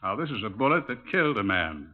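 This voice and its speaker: A man's voice: lowish voice, very animated, fast talker, a little hiss or hum. A man playing a formal British chief inspector who explains things precisely, in 1950s radio drama style. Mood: formal, instructive. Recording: muffled narrowband vintage radio recording.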